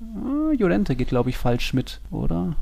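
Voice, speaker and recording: A man's voice: on the high side, very animated, measured pace, gravelly, mellow, a little hiss or hum. A man co-hosting and moderating a football podcast in a casual, colloquial, slangy way.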